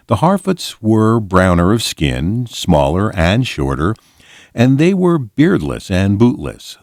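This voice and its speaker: Male storybook narrator, low register, lively melodic phrasing, measured delivery, very dry close sound. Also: no room sound. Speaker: volunteer reader. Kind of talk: storybook read-aloud narration.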